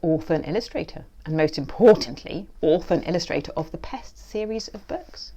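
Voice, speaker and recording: A woman with a very low voice and plenty of gravelly creak. She presents and reads a children's story expressively, as an author reading her own work. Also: lively intonation, a little fast, warm tone.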